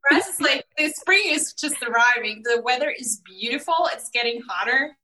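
A woman in her twenties from Austria, chatting casually on a video call, laughing often and pausing with fillers. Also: fairly high pitch, animated, bright, forceful tone, reverberant, recorded from a distance, slight background noise, low-quality recording. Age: 20s. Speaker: interviewee.

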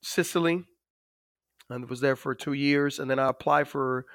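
Dominican-accented man, smooth and dry. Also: medium pitch, steady tone, fairly bright tone, no echo. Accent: Dominican Spanish. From Dominican Republic. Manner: halting, many fillers. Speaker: guest, Navy master chief. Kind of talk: interview storytelling.

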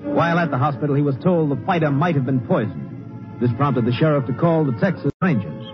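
A man narrating a 1950s radio crime drama in a measured, dramatic manner. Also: a little high, animated. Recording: muffled old radio, music behind.

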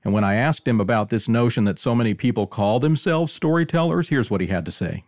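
A man's voice: medium pitch, brisk, darkish tone, dry recording, studio quality. A man hosting a podcast in a conversational presenting style. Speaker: podcast host.

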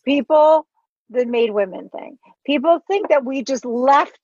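A woman co-hosting a podcast, chatting casually with quick, bubbly reactions. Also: high voice, lively intonation, darkish tone, no room sound, dull audio. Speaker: podcast co-host.

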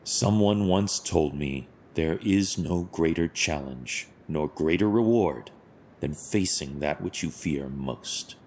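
An actor playing a stern but compassionate masked vigilante hero in an audio drama, speaking in a measured, formal, solemn way. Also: male voice, rumbling low, expressive, a little mellow, a little hiss or hum, exaggerated voice. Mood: gently reassuring.

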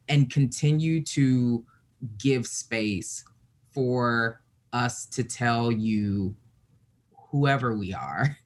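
A man speaking as a panel guest, searching for his words as he talks. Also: mid-range voice. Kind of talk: casual panel discussion.

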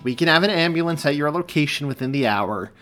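Unflappable female dispatcher, done by a male narrator: patient, procedural, raised pitch, storybook reading with music. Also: fast talker. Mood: matter-of-fact. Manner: calm, procedural. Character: cool, patient, matter-of-fact female emergency operator.

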